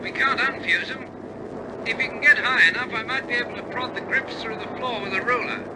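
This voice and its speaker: Wartime aircrew. Extremely high pitch, alert, urgent 1950s radio delivery, loud plane noise behind. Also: male voice, brisk. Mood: businesslike, alert. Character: bomber crewman calling reports to his captain, alert, dutiful. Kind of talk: 1950s radio drama acting.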